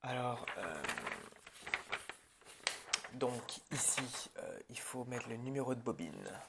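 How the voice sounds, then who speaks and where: A man's voice: medium pitch, expressive, slow, vocal fry, heavy background noise. A man speaking informally and hesitantly in an improvised ASMR roleplay, as a film director addressing his crew.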